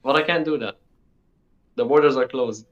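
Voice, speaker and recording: A man's voice: medium pitch, moderate pace. Teenage young man on a dull call. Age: teens, sixteen. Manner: informal, repeats himself for emphasis. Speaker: interview guest. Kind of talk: casual conversational interview.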